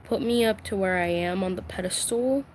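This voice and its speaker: A woman who voice acts, talking from her bedroom in an emotional live-stream vent, halting and full of fillers, soft and confiding as she holds back tears. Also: medium pitch, a little hiss or hum. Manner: slow, halting, many fillers and restarts.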